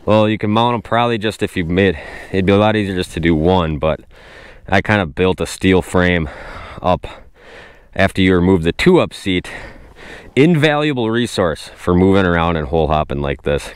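A man hosting a casual fishing vlog outdoors on a frozen lake, recorded on a wearable camera, exclaiming excitedly at each catch. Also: deep voice, moderate pace, smooth, no echo, studio quality. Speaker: host. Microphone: wearable action camera.

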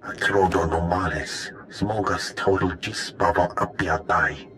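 Deep, slow alien. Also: male voice, a bit bright, a little hiss or hum. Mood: grave. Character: gruff alien male speaking an invented tongue. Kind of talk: scripted video game acting.

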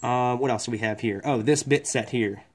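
A man's voice: medium pitch, moderate pace, background noise. A man, an electrician, talking casually and frankly about his tools while he shows them on a workbench, rambling and swearing now and then. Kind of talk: casual tool review. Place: workshop bench, indoors.

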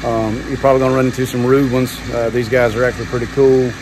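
A man's voice: medium pitch, level tone, fast talker. Man vlogging outdoors, engine noise. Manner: chatty, laid-back, lots of "um" and "man". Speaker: pressure washing business owner, vlogger. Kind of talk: casual vlog talk to camera. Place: outdoors under a covered patio at a large house. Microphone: phone held at arm's length. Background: pump engine and vehicle noise.

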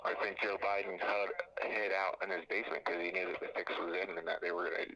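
A man's voice: low-pitched, steady tone, fast, crowd noise. A man answering a phone call as a hotline operator, guarded and evasive, falling back on stock phrases. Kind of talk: phone conversation. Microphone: telephone played over a live stream.

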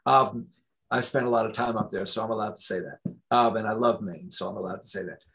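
A man's voice: on the low side, fast talker, creaky, husky. A man in his sixties, a guest lecturer, answering questions on a video call from a home study, talking in long discursive stretches with frequent restarts. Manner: discursive, many restarts, animated. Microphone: video call webcam.

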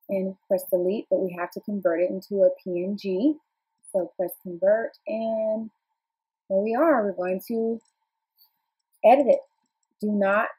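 A woman presenting a software tutorial, calmly walking viewers through each click step by step. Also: medium pitch, husky, poor audio. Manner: plain instructional, walks through clicks calmly. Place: home desk, filming a computer screen.

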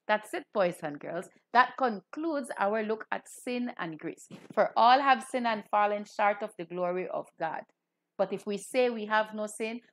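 A middle-aged woman from Belize, a minister hosting a children's Bible program, speaking to camera with emphatic, deliberate phrasing. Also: mid-range voice.

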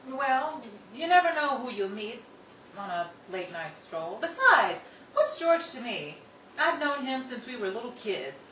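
A woman playing an outspoken, quick-tempered young working sister in an old-time radio style drama, brisk and dramatic. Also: fairly high pitch, very animated, a bit bright. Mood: wry, chatty. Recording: roomy, distant mic, noisy.

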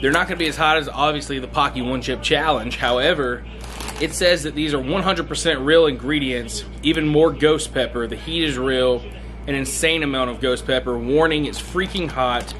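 A man in his thirties vlogging to a handheld camera from a car and a hotel room, telling a rambling, excited story. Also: medium pitch, normal speed. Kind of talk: casual vlog. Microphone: handheld camera at arm's length.

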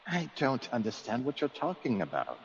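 An actor playing a puzzled, excitable country gentleman in a radio mystery, exclamatory and old-fashioned. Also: mid-range voice, moderate pace, husky, exaggerated voice. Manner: exclamatory, bewildered, old-fashioned gentry speech. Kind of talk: radio mystery drama acting. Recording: dull, lo-fi sound.

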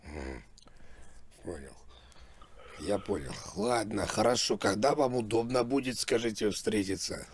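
A man's voice: fairly low pitch, animated, unhurried, pauses often, slight background noise. A man making a prank call as a music producer, chatting casually in repetitive patter. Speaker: prank caller posing as a rap label producer. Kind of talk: casual phone talk.

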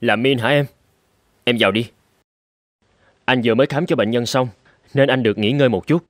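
A man voicing a busy clinic director and welcoming elder brother-in-law in a cartoon drama, familiar and unhurried. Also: medium pitch, dry recording, studio quality, close to an everyday voice.

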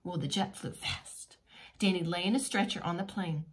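A woman preschool teacher reading a children's picture book aloud in an expressive, teacherly way with simple asides. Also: fairly low pitch, normal speed.

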